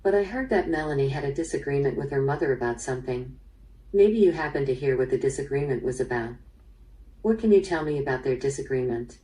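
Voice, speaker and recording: A woman playing a probing, businesslike police detective in an interactive radio drama, measured in her questioning. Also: very deep voice, expressive, warm tone. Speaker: voice actor in interactive audio drama. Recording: played through speaker, slightly distant.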